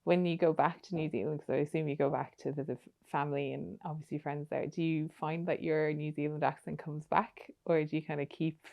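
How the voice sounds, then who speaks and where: A woman's voice: low voice, flat delivery, fairly quick, creaky, few pauses, highs cut off. A young woman hosting a podcast on a studio mic, chatty, asking long rambling questions full of fillers. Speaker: podcast host. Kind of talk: casual interview chat.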